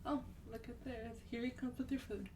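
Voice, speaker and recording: A woman playing a sweet, teasing vampire demon in a gentle, breathy, partly whispered ASMR roleplay. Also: on the high side, fairly even intonation, natural voice. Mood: gracious, polite. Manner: gentle, polite, unhurried, coaxing. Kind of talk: soft-spoken ASMR roleplay. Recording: distant mic, clear background noise.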